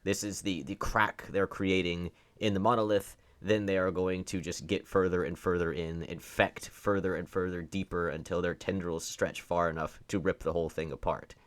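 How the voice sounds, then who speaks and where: Deep-voiced man giving emphatic opinionated commentary. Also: flat delivery, normal speed, hardly any pauses. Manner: emphatic, stressing words for effect; rhetorical questions, sardonic. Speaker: online commentator. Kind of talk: opinionated off-the-cuff political commentary.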